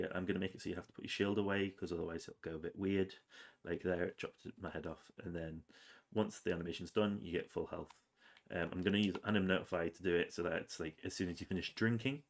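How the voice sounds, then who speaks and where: A man with a cold, casually narrating his game's progress over gameplay with plenty of ums and uhs. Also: deep voice. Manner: relaxed, rambling with many ums and uhs. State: has a cold, sniffly. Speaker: game developer.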